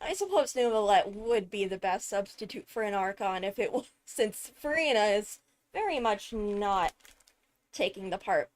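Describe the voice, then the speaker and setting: A woman giving casual, analytical commentary on a video, animated and emphatic on key words. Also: fairly high pitch. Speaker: video host, game lore commentator. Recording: background music behind the voice. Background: music.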